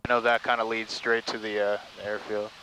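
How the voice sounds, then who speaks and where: A man's voice: on the low side, brisk, poor audio. A man learning to fly, chatting casually and a little hesitantly, thinking aloud over a cockpit headset. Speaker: student pilot. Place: inside a small propeller plane in flight. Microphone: aviation headset intercom. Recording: steady engine noise behind cockpit audio.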